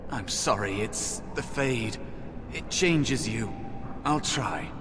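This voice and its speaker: An actor playing a timid, brooding spirit companion in a fantasy video game, speaking in a hesitant, downcast way. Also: male voice, mid-range voice, expressive, takes it slowly. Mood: hesitant, resigned. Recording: long reverb, clear background noise.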